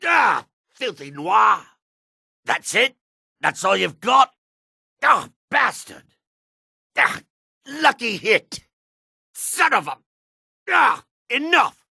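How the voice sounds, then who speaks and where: An actor playing a gruff, proud male dark elf in a fantasy video game, with a rasping voice and theatrical delivery. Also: sits high, sing-song, takes it slowly, pauses often, no echo, clean studio sound, exaggerated voice. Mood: taunting, aggressive. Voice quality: gravelly, rasping.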